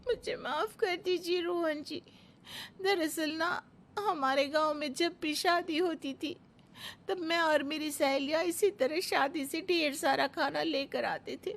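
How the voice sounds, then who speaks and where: A woman plays an earnest village daughter-in-law in an animated moral tale. Her voice is high and very bright, and she speaks with simple, polite conviction. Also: measured pace, a little hiss or hum. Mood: apologetic.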